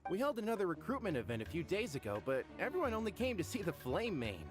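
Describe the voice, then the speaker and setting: A man voicing an excitable young male mercenary in a video game, eager and easily indignant. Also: high-pitched, fast talker, over music. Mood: chatty.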